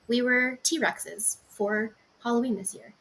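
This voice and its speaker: Bright upbeat woman hosting a show. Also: fairly high pitch, slow pace. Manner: upbeat, welcoming. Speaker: podcast host. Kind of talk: casual chat.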